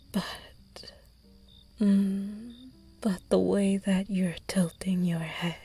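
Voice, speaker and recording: A woman voicing a seductive, predatory female vampire who teases her prey in slow, close, breathy whispers in an ASMR roleplay. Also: medium pitch, darkish tone, heavily acted.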